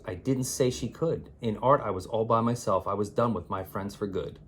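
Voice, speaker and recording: A man reading children's rhymes aloud as a teacher would, in an expressive, theatrical way with drawn-out, emphatic words. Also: lowish voice, slight background noise. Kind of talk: expressive children's read-aloud of rhyming poems.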